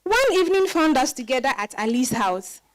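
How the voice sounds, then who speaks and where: A woman performing a reflective wife who recounts a disappointing marriage in a dramatized reading, with expressive storytelling emphasis. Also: sits high, fairly quick. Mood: fond, nostalgic. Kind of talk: dramatized first-person literary monologue.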